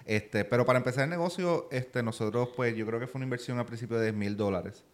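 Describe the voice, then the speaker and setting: A man with a Puerto Rican accent, a guest talking casually on a podcast, often filling pauses with "este". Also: medium pitch. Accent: Puerto Rican Spanish. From Puerto Rico. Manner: relaxed, many "este" fillers. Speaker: guest, YouTuber.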